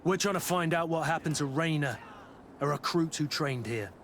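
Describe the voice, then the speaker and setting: An actor playing a blunt, challenging mercenary captain in a video game. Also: male voice, a little high, normal speed, fairly bright tone. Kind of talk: video game cutscene acting. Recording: outdoor ambient noise throughout.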